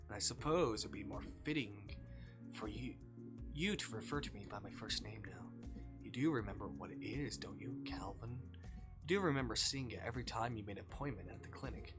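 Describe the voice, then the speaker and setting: A man voicing a calm, cynical doctor who is an obsessive kidnapper in a visual novel, speaking in a measured, condescending way. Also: on the low side, lightly acted. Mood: calm, possessive. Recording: faint music behind.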